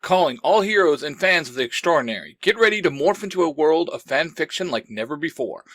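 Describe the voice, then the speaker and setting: A man delivering an excited scripted trailer promo as an announcer. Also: a little high, a little fast, noisy background. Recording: promo over prominent music.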